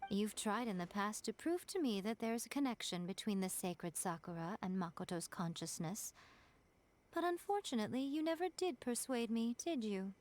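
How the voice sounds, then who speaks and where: A woman's voice: medium pitch, expressive, moderate pace, darkish tone, lightly acted. A woman voicing a sly, teasing fox-spirit shrine priestess in a game, wry and knowing. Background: game soundtrack music.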